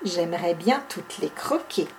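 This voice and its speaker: A woman's voice: on the low side, expressive, measured pace, darkish tone, slight background noise, voice pushed down. A woman voicing a greedy, tricky little male wolf cub who shrieks false alarms. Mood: greedy murmuring.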